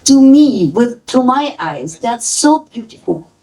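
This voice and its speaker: A woman speaking on a panel about the fashion brand she founded, halting and emotional, often searching for her words. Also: on the high side, sing-song, mellow, rough recording. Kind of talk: panel talk.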